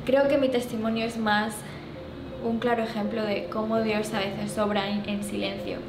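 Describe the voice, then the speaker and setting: A young Peruvian woman with a Spanish accent giving a personal testimony, halting with many fillers and restarts. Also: fairly high pitch, level tone. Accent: Spain. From Peru. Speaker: youth group speaker. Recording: echoey, distant mic, loud music behind.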